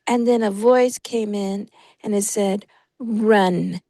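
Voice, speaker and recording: A woman's voice: medium pitch, steady tone, takes it slowly, warm tone, no echo, studio quality. A woman on a video call telling a personal story in a casual, rambling way, often stopping to search for words. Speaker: prayer group participant.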